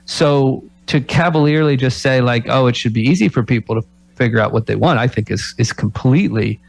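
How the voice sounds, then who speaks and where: A man who coaches college basketball talks on a sports radio show in a reflective way, often closing his points with rhetorical questions. His voice sits at a middle pitch. The studio sound is dry and close. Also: a little fast, vocal fry, no echo, rough recording. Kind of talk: casual sports radio talk.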